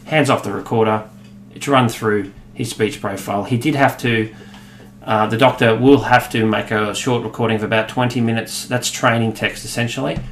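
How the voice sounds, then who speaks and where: A man in his thirties with an Australian accent, explaining a software demo in a relaxed way, with many fillers. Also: medium pitch, moderate pace. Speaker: product demonstrator. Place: office room, indoors. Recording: echoey room with faint ambience.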